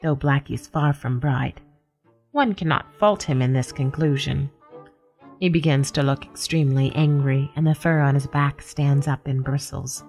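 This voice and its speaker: A woman's voice: extremely low pitch, moderate pace, darkish tone, soft background music. A woman playing a genteel, sly cat who narrates a radio story with polished, wry formality. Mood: wry, reflective.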